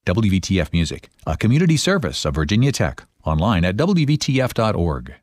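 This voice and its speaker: A man's voice: sits low, big pitch swings, brisk, darkish tone, no room sound, clean studio sound. A man announcing for a radio station, reading pledge drive notes and station calls in an upbeat manner. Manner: upbeat promotional delivery. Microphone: studio mic.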